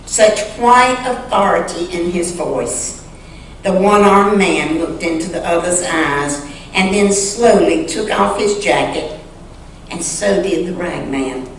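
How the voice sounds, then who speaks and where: A woman reading a story aloud dramatically and earnestly, with deliberate pauses, in a church sanctuary. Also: lowish voice. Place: large indoor church sanctuary. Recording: echoey church sanctuary, distant mic, faint noise.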